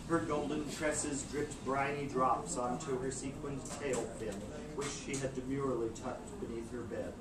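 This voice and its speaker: A man's voice: mid-range voice, steady tone, moderate pace, few pauses. Distant man narrating an arch story. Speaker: narrator reading a novel aloud. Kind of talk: theatrical scripted narration. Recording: noisy, echoey room from far off.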